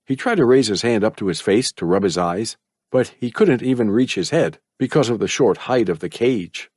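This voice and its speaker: A man voicing a frightened teenage boy trapped in a cage, high and tearful with a cracking voice. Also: lively intonation, normal speed, darkish tone, no room sound, clean studio sound. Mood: frustrated.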